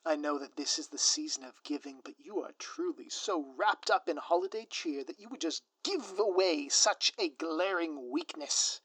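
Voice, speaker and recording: A man playing a scheming, theatrical supervillain in a scripted ASMR audio roleplay, with a pompous, florid, drawn-out delivery. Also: a little high, a bit bright, slightly rough, no room sound, rough recording. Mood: ominous, gloating. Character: theatrical scheming male supervillain, gloating, menacing, easily flustered.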